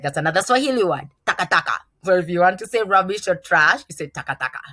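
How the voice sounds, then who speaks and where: A woman's voice: lowish voice, bright, forceful tone, no room sound. A young Kenyan woman teaching a class of children, explaining things simply with examples. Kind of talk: classroom lesson for kids.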